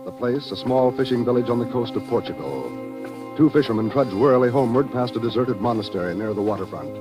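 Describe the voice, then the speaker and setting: A man's voice: mid-range voice, fast. A man with an American accent announcing and narrating a scripted 1940s radio drama. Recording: 1940s radio recording, loud music behind.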